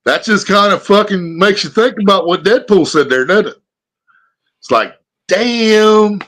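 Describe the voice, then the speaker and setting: A man hosts a casual livestream, telling long, rambling, profane stories. His voice sits a bit above the usual pitch for a man. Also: animated, a little slow, a bit bright. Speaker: livestream host.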